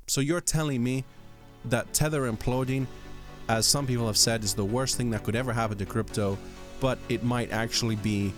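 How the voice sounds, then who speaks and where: A man from London with a mixed English, Irish and American accent, presenting scripted vlog commentary with rhetorical questions and deliberate pauses. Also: mid-range voice. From London, England. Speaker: host of a crypto video channel.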